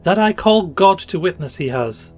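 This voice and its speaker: A man's voice: sits high, slow, gravelly, a little mellow, soft background music, pitched above the performer's own voice, full character voice. A man voicing a desperate, grief-stricken woman of about fifty who pleads in near frenzy. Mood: passionate.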